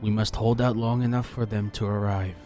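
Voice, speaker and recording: A man voicing a grave, measured male ninja master bringing ill news. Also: on the low side, little pitch movement, a little mellow, soft background music, voice pushed up, close to an everyday voice.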